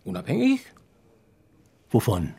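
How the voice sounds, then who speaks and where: An actor playing a pompous, irritable senior police chief in a radio crime drama, haughty and emphatic. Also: male voice, mid-range voice, wildly swooping pitch, takes it slowly, mellow, husky, heavily acted. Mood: officious. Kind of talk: German radio play acting.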